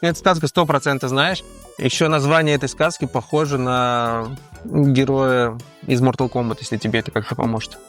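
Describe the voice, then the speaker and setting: A man's voice: medium pitch, fairly even intonation, moderate pace, a bit bright, faint music behind. A man co-hosting in casual chat, quizzing his partner in a teasing, colloquial way. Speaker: co-host and quizmaster of a Tatar language-learning show. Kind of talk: casual chat, giving quiz hints.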